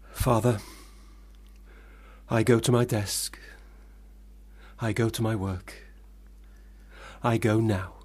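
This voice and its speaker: An actor playing a troubled, self-doubting young man who drinks, theatrical, swinging between loud protest and muttering in a radio play. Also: on the low side, slightly rough, exaggerated voice. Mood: despairing, muttering.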